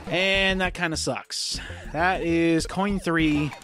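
A hoarse gameplay commentator, losing their voice to a cold, chatting casually and exclaiming at what happens on screen. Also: medium pitch, fairly even intonation, takes it slowly, fairly bright tone. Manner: chatty, exclaims at game events. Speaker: let's play commentator. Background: faint game music.

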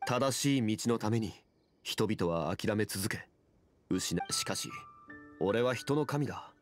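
Low, solemn ancient god. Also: male voice, animated, faint music behind. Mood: solemn, reflective. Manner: measured, formal, grave. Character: ancient god in human form, calm, solemn, dignified. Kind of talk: video game character dialogue.

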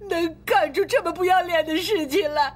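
An actress playing a bitter, hysterical middle-aged wife in a TV melodrama, tearful and accusing. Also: female voice, very high-pitched, brisk. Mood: furious, sobbing. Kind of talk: TV melodrama acting.

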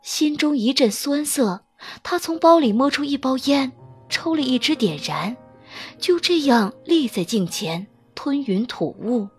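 Woman narrator, high, lively audiobook voice. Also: unhurried, slightly rough, soft background music. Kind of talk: dramatic scripted narration of a romance novel.

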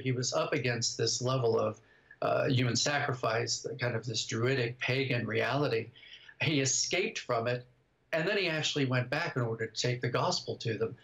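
A man's voice: mid-range voice, creaky, a bit bright. An older man, a guest on a video call, giving thoughtful interview answers. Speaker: guest writer and director. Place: home study indoors, over video call. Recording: dull video-call audio.

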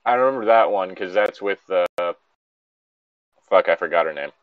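A man's voice: deep voice, moderate pace, dry recording, narrow, tinny audio. A man in a casual joking chat, swearing freely as he reads aloud and comments as a co-host.

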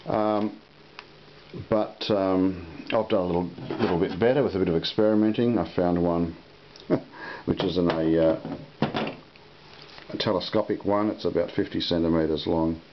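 A man with a deep, low voice talks through a product casually and without a script. He speaks haltingly and takes his time. The recording is muffled and lo-fi, with a clear hiss behind him. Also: fairly even intonation. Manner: halting, unhurried. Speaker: product reviewer. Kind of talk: casual unscripted product demonstration. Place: indoor room at a table.

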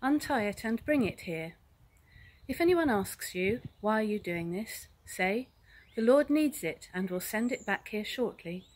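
A woman's voice: mid-range voice, expressive, measured pace, darkish tone, rough recording. An older woman reads a Bible passage aloud. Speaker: Bible reader. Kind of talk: scripture reading aloud. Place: outdoors in a garden. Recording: faint outdoor noise with birdsong. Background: birdsong.

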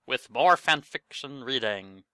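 A man's voice: mid-range voice, animated, a little slow, bright, forceful tone, smooth, dry recording. A young man joking casually as a co-host, cutting in with incredulous, teasing questions. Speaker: co-host commenting on a story reading. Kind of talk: casual joking chat over gameplay.

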